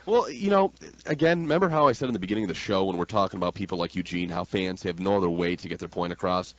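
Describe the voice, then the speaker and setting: A man from New York State with an American accent, talking wrestling as a brash, emphatic, slangy radio host. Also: on the low side. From New York State, United States. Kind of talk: opinionated wrestling talk radio banter. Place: radio studio. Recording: dull lo-fi radio audio.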